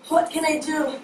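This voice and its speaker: A hoarse young woman playing a desperate poor rural mother of eight who pleads and coughs. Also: high voice. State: hoarse, lost voice. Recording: echoey room, distant mic, noise.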